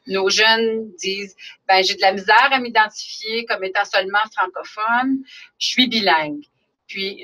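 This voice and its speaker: Bright-voiced Canadian French woman presenting. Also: medium pitch, far from the mic, rough recording. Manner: earnest, many fillers and restarts. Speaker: webinar presenter on school identity building. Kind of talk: explanatory webinar talk.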